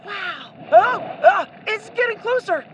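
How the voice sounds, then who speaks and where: A woman's voice: extremely high pitch, expressive, slow, full character voice, rough recording. A woman playing an impulsive, wide-eyed little boy adventurer in a radio play, full of exclamations. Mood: frightened awe. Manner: exclamatory, wide-eyed, old-fashioned kid phrasing. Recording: loud music and effects behind. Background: loud music.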